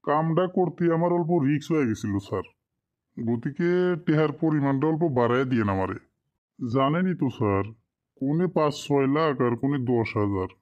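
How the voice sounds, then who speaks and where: A man's voice: medium pitch, lively intonation, fairly quick, darkish tone, lightly acted. A muffled, lo-fi recording of a sly cartoon henchman played by a man. He fawns and wheedles in a rural Bengali dialect. His manner is greedy and conspiratorial. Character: sly, greedy, fawning male office henchman. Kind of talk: amateur cartoon drama dialogue.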